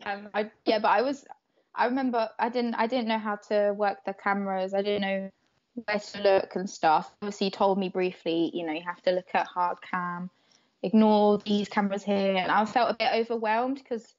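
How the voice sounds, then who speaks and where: A young woman, a native Croatian speaker, talks in a relaxed, hesitant way with plenty of fillers and keeps breaking into giggles. Her voice sits at an ordinary middle pitch for a woman. The recording is dull and lo-fi. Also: moderate pace. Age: young adult. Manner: giggly, laughs often between hesitant, filler-heavy phrases. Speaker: podcast guest, wrestler. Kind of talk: casual interview chat. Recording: dull lo-fi podcast audio.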